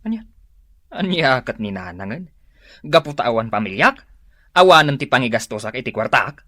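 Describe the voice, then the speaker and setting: A man's voice: medium pitch, very animated, normal speed, bright, forceful tone, no room sound, studio quality. An actor playing a boastful, defensive hard-drinking working man with an Ilocano Filipino accent in a comedy radio drama.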